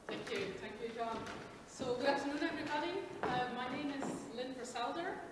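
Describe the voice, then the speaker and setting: A woman's voice: high voice, brisk. Woman from belgium, upbeat and chatty, lots of ums, sounds far off in a big echoey hall with crowd noise. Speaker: company presenter. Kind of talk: informal recruiting presentation. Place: large expo hall. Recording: echoey hall, distant mic, background noise.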